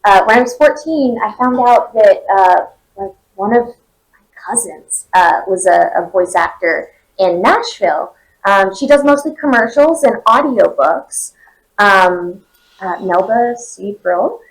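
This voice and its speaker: A woman's voice: expressive, unhurried, low-quality recording. Chatty woman with a nasal voice. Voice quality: high-pitched, nasal. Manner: chatty, many fillers. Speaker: voice actor guest. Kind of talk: casual convention interview.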